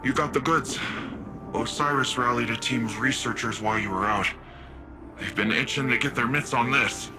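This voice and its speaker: An actor voicing a brash young warrior who talks in an earnest, halting, heartfelt way in video game dialogue. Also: male voice, mid-range voice, lightly acted. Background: game score music.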